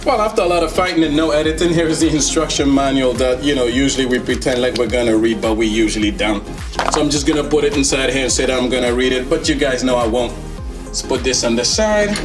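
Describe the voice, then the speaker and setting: A man's voice: medium pitch, fast talker, over music. A man giving casual, enthusiastic unboxing commentary as a tech reviewer, in a playful, slangy, joking manner. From Netherlands. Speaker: tech reviewer, video host.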